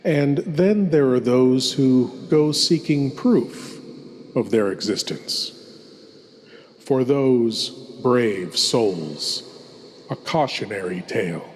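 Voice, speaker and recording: A man playing a suave, sinister horror host, delivering ominous theatrical introductions in a deliberate, grand manner. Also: mid-range voice, lively intonation, a little hiss or hum. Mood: wry, foreboding. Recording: long cavernous reverb.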